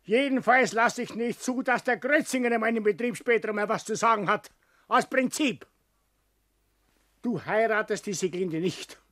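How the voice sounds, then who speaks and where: A man's voice: high voice, normal speed, fairly bright tone, no echo, clean studio sound. An actor with a Bavarian accent playing a stubborn, ailing, domineering old father and company boss in a 1960s German radio drama, blustering and grumbling. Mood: obstinate. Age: older. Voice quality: short of breath, coughing at times.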